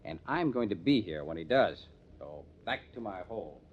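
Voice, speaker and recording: An actor playing a hard-nosed, determined police detective in an old-time radio crime drama, speaking in a measured, emphatic way. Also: male voice, low-pitched, very animated, background noise. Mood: dry, wry. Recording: dull lo-fi old radio recording.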